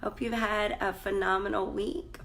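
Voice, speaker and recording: A woman's voice: mid-range voice, level tone, moderate pace, creaky, a bit bright. A woman life coach, sounding congested, chatting casually and rambling in a live stream from a hotel room. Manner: chatty, rambling, greets viewers by name. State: congested, slight cough.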